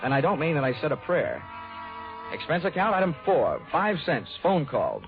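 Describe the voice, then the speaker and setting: An actor playing a wisecracking hardboiled private investigator in 1940s radio style, delivering dry, wry, clipped quips. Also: male voice, on the high side, big pitch swings, normal speed. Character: wisecracking hardboiled male private investigator narrating his case. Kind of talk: 1940s radio detective acting. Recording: narrow, tinny 1940s radio recording with music.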